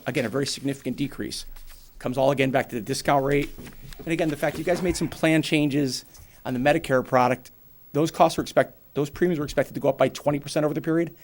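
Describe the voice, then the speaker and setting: A man in his forties with a New England accent, giving a brisk, plainspoken financial presentation to a town board and explaining with everyday examples. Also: fairly high pitch. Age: 40s. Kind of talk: financial presentation at a public meeting.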